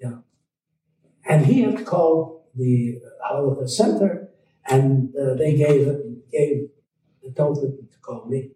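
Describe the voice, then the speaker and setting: An older man recalling his past in an interview, speaking haltingly with many restarts. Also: mid-range voice, dark tone, heavy reverb, recorded from a distance, low-quality recording. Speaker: guest, Holocaust survivor. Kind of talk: recorded interview, storytelling.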